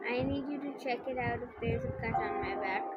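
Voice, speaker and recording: A woman with a high voice streams a video game. She chats casually and reads dialogue aloud, with blunt, sweary jokes. Loud music runs behind her voice. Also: fairly quick, dull audio. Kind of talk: casual gameplay commentary, reading dialogue aloud.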